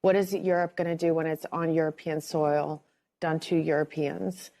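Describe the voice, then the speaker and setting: Low-voiced woman on a TV news segment. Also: little pitch movement, moderate pace, vocal fry, dark tone. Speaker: TV news guest. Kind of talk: broadcast news commentary.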